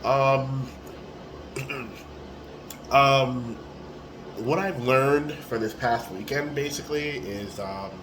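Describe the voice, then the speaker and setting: A man in his forties with a hoarse, raspy voice, talking casually into a phone held at arm's length in a small room, with plenty of ums and restarts. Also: medium pitch, extremely slow, long pauses, background noise. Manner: relaxed and rambling, with many ums and restarts. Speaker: tournament organizer. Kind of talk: casual selfie video recap.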